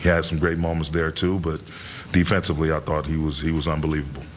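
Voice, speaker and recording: A middle-aged man, a head coach, answering reporters' questions after a game in a measured way, with many fillers and repeated words. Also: a bass voice, flat delivery, background noise. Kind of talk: postgame press conference answers. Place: press room in front of a sponsor backdrop.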